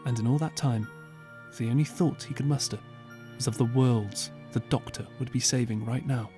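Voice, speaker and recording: A man narrating an audiobook as scripted storytelling, measured and earnest, with dramatic pauses. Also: medium pitch, warm tone. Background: clear background music.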